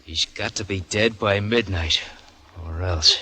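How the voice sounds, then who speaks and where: A man's voice: deep voice, unhurried, loud music behind the voice. An actor playing a brutal, scheming son who bullies his mother in a 1940s radio drama. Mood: menacing. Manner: menacing and bullying. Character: brutal, scheming young male murderer son.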